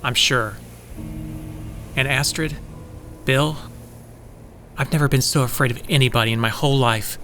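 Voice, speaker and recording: A man's voice: mid-range voice, normal speed, pauses often, sharp tone, soft background music, close to an everyday voice. An actor playing an anxious, earnest man in a science fiction audio drama, speaking with worry. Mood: fearful. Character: anxious, frightened male member of a dimension-hopping group.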